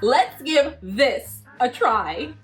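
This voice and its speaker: A woman hosting a children's Bible lesson, cheerful and animated, laughing often as she talks to kids. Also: high voice, extremely slow, soft background music. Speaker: children's story host.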